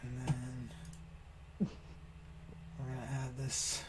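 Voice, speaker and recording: A man's voice: medium pitch, completely flat delivery, slow pace, heavy background noise. A man in his early thirties chatting casually while gaming, slangy and playfully teasing. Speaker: racer and streamer in a community race. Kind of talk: casual gamer chat.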